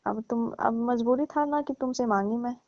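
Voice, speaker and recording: A woman's voice: a little high, moderate pace, warm tone, no echo, close to an everyday voice. A woman playing a needy, wary middle-aged divorced mother in a casual phone conversation, talking hesitantly and colloquially with a complaining note. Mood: plaintive. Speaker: actor in a staged phone call skit. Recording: muffled lo-fi phone call recording.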